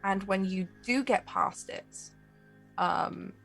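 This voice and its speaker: A woman acts as game master, describing scenes and calling for rolls in a tabletop game. Her medium-pitched voice has a gravelly creak, and soft music plays underneath. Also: takes it slowly. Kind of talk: narrating a tabletop role-playing game.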